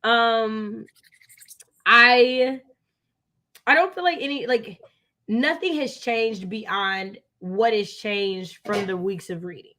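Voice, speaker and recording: Bright, high-voiced American woman, emphatic. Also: slow pace. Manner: emphatic, conversational, repeats phrases for emphasis. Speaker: co-host of a book readalong live discussion. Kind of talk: casual, opinionated book chat.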